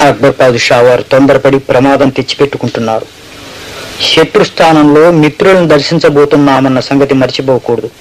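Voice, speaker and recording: A man's voice: medium pitch, a little fast, close to an everyday voice. A man playing a cautious, learned court musician in a radio play, with a formal, courtly, eloquent delivery. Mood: wary warning. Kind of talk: Telugu radio play acting. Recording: noisy old radio play recording.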